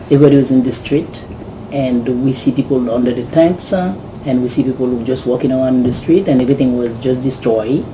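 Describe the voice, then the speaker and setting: A man's voice: medium pitch, brisk. A man from Haiti, a volunteer doctor, telling his story eagerly with frequent restarts. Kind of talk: recounting experience to camera. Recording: steady air-conditioning hiss.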